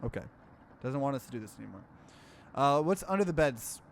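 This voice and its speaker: A man's voice: medium pitch, sing-song, a little fast, creaky, slight background noise. A man hosting a let's play, casually commenting and reading game text aloud with expression.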